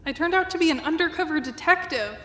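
Sassy muse, bright forceful tone, very echoey, teasing, audio drama. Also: female voice, high-pitched, animated, fast talker. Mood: sassy, cheeky. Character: cheeky female muse, an imaginary fictional character. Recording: reverberant, echoing hall effect.

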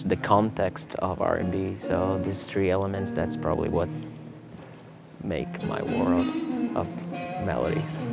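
A young Brazilian man, a native Portuguese speaker with a Brazilian accent, casually answering interview questions in a relaxed way while seated in a theater hall. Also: sits low, gravelly. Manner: relaxed, laid-back, many fillers like "you know" and "man". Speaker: guitarist.

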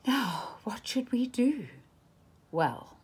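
Slow, very expressive woman reading a storybook. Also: a little high, slightly rough. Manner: dramatic, emphatic phrasing. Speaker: storytime reader. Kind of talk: expressive children's picture-book read-aloud.

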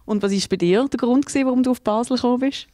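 A woman's voice: on the high side, racing, dark tone, no room sound. Swiss German woman interviewer, dry sound. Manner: friendly, inquisitive questioning. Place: small indoor studio room. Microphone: handheld mic.

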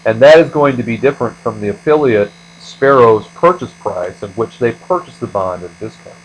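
A man's voice: medium pitch, expressive, moderate pace, a little hiss or hum, low-quality recording. A man giving a step-by-step screencast lecture as an instructor, explaining things methodically. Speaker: accounting instructor.

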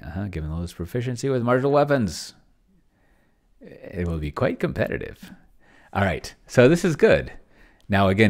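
A middle-aged man giving casual livestream commentary, rambling and thinking aloud as he types. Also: sits low. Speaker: host of a solo tabletop game video.

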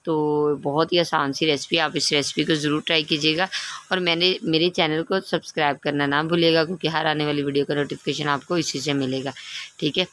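A woman's voice: sits low, fairly even intonation, a little fast, a bit bright. Woman, home cooking host, casual tutorial narration, instructive, frequent check-ins with the listener.

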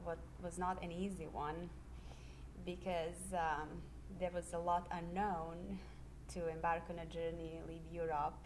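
A middle-aged woman from Serbia, a high school history teacher, speaking in a measured, earnest way with many pauses in a classroom interview on a handheld mic. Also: flat delivery, slight background noise, low-quality recording. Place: classroom, indoors.

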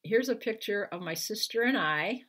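An older American woman, a children's author, speaking to viewers at home in an expressive, unhurried storytelling manner. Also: medium pitch. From Iowa, United States. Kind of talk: picture book read-aloud and informal talk to viewers. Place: living room at home, indoors. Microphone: webcam at arm's length.

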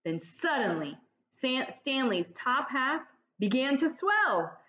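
Teacher lady reading a kids book on her webcam, slow and bouncy, kinda muffled. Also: high-pitched. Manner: slow, expressive storytelling with lively voiced dialogue. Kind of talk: children's read-aloud. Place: home room, indoors. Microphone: laptop webcam.

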